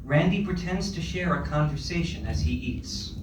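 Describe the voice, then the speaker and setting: Distant and echoey over background noise, a man narrates a screenplay's stage directions. His voice sits a little high as he reads the script aloud. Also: lively intonation, normal speed. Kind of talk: scripted narration at a live table read.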